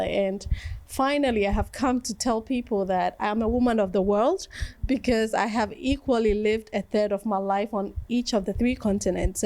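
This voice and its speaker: A middle-aged woman tells her own story as an interview guest. She talks in a chatty, relaxed way and breaks into laughter between phrases. Her voice sits at a middle pitch. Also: rough recording. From Ghana. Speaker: fashion designer guest. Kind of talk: interview answers.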